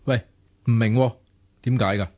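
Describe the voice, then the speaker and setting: Actor as an earnest young Hong Kong romantic. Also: male voice, medium pitch, steady tone, normal speed, warm tone, close to an everyday voice. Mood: confident, defensive. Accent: Hong Kong Cantonese. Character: earnest, naive, romantic young man defending an online crush. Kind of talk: radio drama acting.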